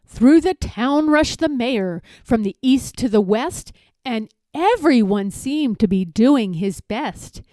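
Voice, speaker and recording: Woman, children's read-aloud narrator, expressive, unhurried, dry close recording. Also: a little high, dry recording. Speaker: storybook reader.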